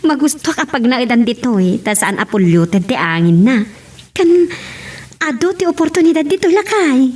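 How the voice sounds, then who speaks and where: A woman playing a gentle wife working abroad in an amateur audio drama, explaining things conversationally. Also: high-pitched, very animated, normal speed, recorded from a distance. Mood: cheerful. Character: wife abroad gently breaking news to husband.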